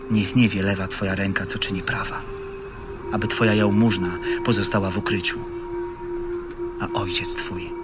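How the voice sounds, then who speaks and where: A calm, authoritative biblical teacher acted by a man with a lowish voice. He instructs earnestly and in measured phrases, as in a radio drama, with prominent music behind him. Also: natural voice. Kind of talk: dramatised audio Bible acting.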